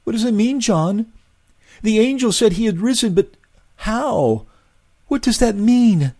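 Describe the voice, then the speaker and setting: A man's voice: animated, measured pace, dark tone, full character voice. A man putting on a pleading grieving woman. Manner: high, pleading, emotional. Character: grieving young woman, desperate then overjoyed. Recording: faint music behind.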